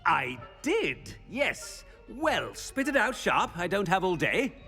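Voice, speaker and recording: High-voiced headmaster, pompous, flustered, bossy, swooping intonation, comic game. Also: male voice, sing-song, slow, vocal fry, sharp tone, soft background music, full character voice. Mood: haughty, blustering. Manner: blustering, haughty. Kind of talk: comic video game acting.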